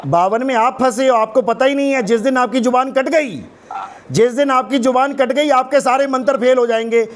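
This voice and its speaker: A hoarse man arguing in a heated religious debate, combative and mocking. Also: very high-pitched, normal speed. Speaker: spiritual teacher in debate.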